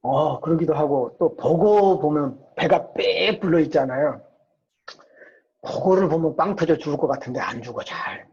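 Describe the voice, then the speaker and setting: Muffled, mellow-voiced man giving a lecture. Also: on the high side, moderate pace, gravelly. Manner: asks and answers own rhetorical questions. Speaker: psychology professor lecturing. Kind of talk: informal explanatory lecture. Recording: dull, muffled lo-fi lecture recording.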